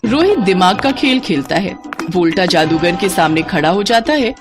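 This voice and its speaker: A woman's voice: fairly low pitch, lively intonation, normal speed, music in the background. A woman narrating a scripted children's story, on a noisy recording. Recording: clearly noisy background.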